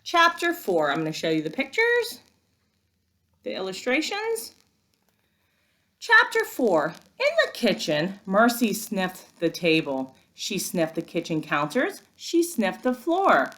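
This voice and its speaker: A woman working as a first-grade teacher, giving an expressive, instructive read-aloud lesson for young children. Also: medium pitch, takes it slowly.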